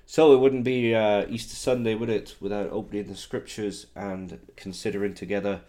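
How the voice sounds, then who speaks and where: A man preaching with a somewhat low, mellow voice that still sounds hoarse from a recent cold. He talks in a halting way, full of "uh"s, and slows down when he prays or reads scripture aloud. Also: flat delivery. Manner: halting, many fillers, reads scripture slowly. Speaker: pastor. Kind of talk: sermon with scripture reading.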